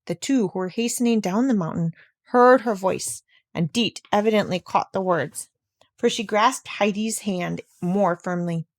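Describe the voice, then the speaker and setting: Female audiobook reader, medium pitch, expressive storybook narration, very dry recording. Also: measured pace, no room sound.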